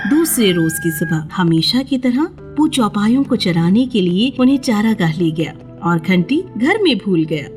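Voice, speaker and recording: Animated woman storyteller, fairly high voice. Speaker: storyteller, narrator. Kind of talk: scripted children's fairy-tale narration.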